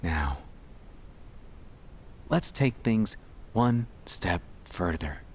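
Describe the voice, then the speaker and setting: A man speaking in a gentle, soothing, reassuring way, delivering a scripted ASMR guided relaxation to help the listener sleep. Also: deep voice, expressive, very slow, husky.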